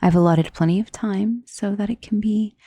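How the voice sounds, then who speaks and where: Casting: woman to play elegant female vampire for ASMR roleplay. Mellow, breathy, coaxing and possessive, often whispering close to the mic. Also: mid-range voice, moderate pace, no room sound. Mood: calm, scheming. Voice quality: breathy, soft. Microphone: close studio mic.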